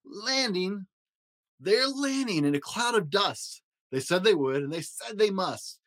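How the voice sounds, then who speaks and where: A man hosting a story podcast, reading a rhyming children's picture book aloud in an expressive, rhythmic way. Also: sits high, unhurried. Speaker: podcast host and story reader.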